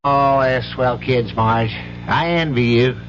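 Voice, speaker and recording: A man's voice: medium pitch, slow, over music, lightly acted. An actor playing a middle-aged, folksy, hearty uncle who looks after his brother's family in old-time radio drama. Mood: grim, troubled. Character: genial bank-teller uncle, protective of his brother's family. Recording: dull, noisy old radio recording.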